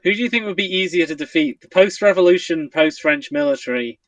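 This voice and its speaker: High lively young man, off-mic. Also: fast, far from the mic. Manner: playful, joking, giggly. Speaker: podcast co-host. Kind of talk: casual chat.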